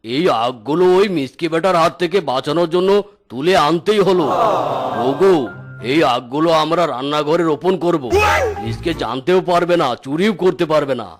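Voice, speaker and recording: A man performs a scheming tiger farmer in a children's cartoon. The voice is a little high for a male, emphatic and full of self-satisfied indignation. Music plays clearly underneath. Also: moderate pace, natural voice. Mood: pleased, scheming. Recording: music bed behind the voice.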